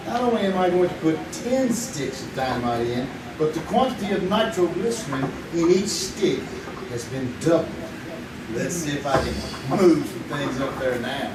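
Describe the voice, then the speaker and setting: An actor playing a small-town would-be bank robber who mutters and gloats to himself in a live radio play. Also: male voice, fairly high pitch, natural voice. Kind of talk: live old-time radio drama acting. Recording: roomy live stage, distant mic, noisy.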